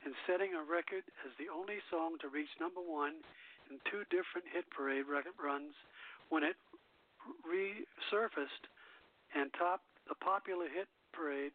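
A man hosting an online radio show, reading notes aloud with halting restarts and repeated words. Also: fairly high pitch. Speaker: host of an internet radio show. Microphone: call-in phone line. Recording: phone-like lo-fi line with faint music.

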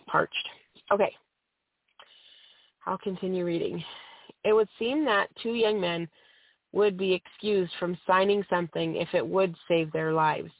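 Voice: medium pitch, creaky, no echo. A host with a cold who is losing their voice, reading a religious text aloud on a call-in program with small slips and fillers. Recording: dry phone-line audio.

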